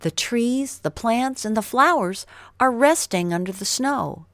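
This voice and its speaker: A woman's voice: a little high, animated, a little slow, a bit bright, no echo, voice pushed up. A woman voicing a friendly, hopeful, nature-loving boy in a children's storybook. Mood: reassuring, hopeful.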